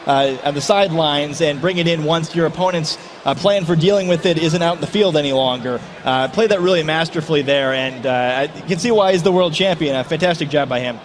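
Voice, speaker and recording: A man giving color commentary at a gaming tournament, breaking down strategy in a quick, analytical way with frequent fillers. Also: on the high side.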